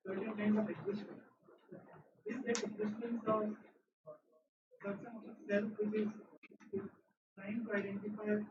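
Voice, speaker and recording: A man acting as discussant in an academic seminar, asking long, searching questions with many pauses and fillers. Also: high voice. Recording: echoey, distant, phone-like hall recording with noise.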